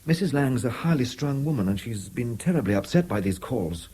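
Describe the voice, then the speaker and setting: Husky, anxious English family man. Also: medium pitch, expressive, brisk, mellow. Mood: uneasy, pleading. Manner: hesitant, deferential, repeats words. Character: anxious, apologetic middle-aged family man, timid but persistent. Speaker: actor in a radio play. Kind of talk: 1970s British radio drama acting.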